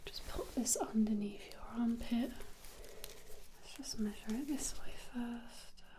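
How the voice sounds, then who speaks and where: Gentle whispery asmr lady, kinda high voice, really slow, some hiss behind her. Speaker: ASMR role-play performer. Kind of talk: ASMR measuring-appointment role-play, whispered and soft-spoken.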